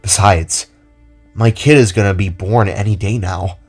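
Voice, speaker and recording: A man's voice: lowish voice, a little slow, a little mellow, breathy edge, faint music behind. Laid-back kid brother, casual and teasing, voiced by a man for an audio drama. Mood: eager. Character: younger brother, playful expectant father.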